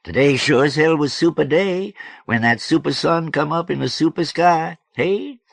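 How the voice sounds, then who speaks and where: A man voicing a loud, slangy, big-hearted, boisterous man in an audiobook, with emphatic folksy delivery. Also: fairly high pitch, normal speed, gravelly, dry recording, clean studio sound, voice pushed up. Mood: boisterous, teasing. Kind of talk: audiobook character voice.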